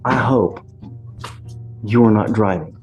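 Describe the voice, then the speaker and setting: Blunt American judge, mellow-voiced man. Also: fairly low pitch, slow. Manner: blunt, scolding, colloquial. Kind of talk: stern courtroom address from the bench. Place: courtroom. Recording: courtroom audio with background music.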